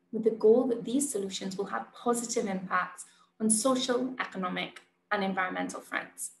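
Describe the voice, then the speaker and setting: Woman, 30s, earnest scripted presenter, some vocal fry, roomy sound. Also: mid-range voice. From Barbados. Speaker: conservationist and presenter. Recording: roomy echo, light background noise.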